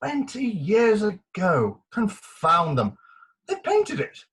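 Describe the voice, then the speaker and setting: A man playing a bluff, commanding middle-aged military gentleman in a Victorian farce reading, full of orders and emphatic exclamations. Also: high voice, huge pitch swings, takes it slowly, full character voice. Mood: nostalgic, blustering.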